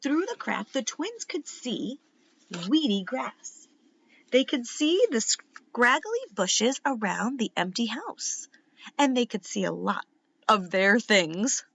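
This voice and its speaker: A woman reading a children's book aloud like a teacher, in an expressive, deliberate and instructive way. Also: fairly high pitch, creaky, dull audio. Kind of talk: animated read-aloud narration for young children.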